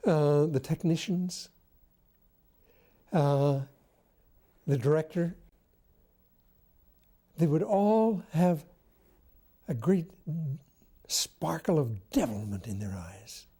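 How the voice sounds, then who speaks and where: An older man telling stories from memory in an interview, deliberate, with pauses between short phrases. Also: a little high, animated, warm tone, husky. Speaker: interviewee recalling radio drama history. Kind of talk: reflective storytelling.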